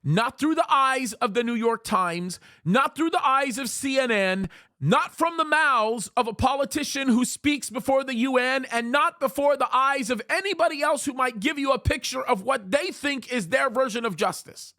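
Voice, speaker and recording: A man's voice: very high voice, expressive, moderate pace, sharp tone, no room sound, clean studio sound. A pastor, a man, preaching emphatically to his viewers, pleading and repeating phrases for weight. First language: Arabic is his second language, English first. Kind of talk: preaching commentary to camera.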